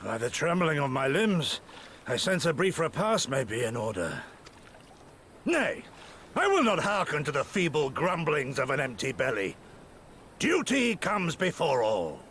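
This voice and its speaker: An actor voicing a proud, hardy foreign seafarer in a fantasy game, with grandiloquent, old-fashioned formal speech. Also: male voice, on the high side, huge pitch swings, a little slow, fairly bright tone, slight background noise, exaggerated voice. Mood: weary but resolute. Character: archaic-speaking proud, hardy foreign seafaring traveler man.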